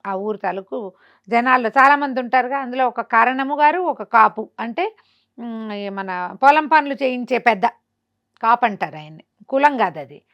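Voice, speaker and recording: A woman's voice: a little high, expressive, normal speed, lo-fi sound. A woman with a Telugu accent telling a folk tale conversationally, expressively acting out the dialogue of its characters. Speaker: storyteller.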